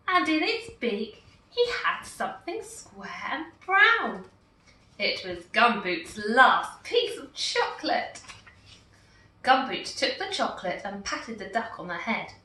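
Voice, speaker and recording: Slow, expressive woman storyteller. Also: fairly high pitch, slight background noise. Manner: slow, expressive, warmly animated. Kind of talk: reading a picture book aloud. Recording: echoey room, far from mic.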